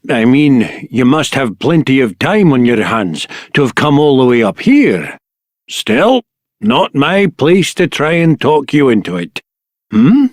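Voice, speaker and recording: An actor with a British accent playing a gruff, short-tempered mountain workman in a video game, blunt and conversational. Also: medium pitch, no echo, clean studio sound. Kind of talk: video game character acting.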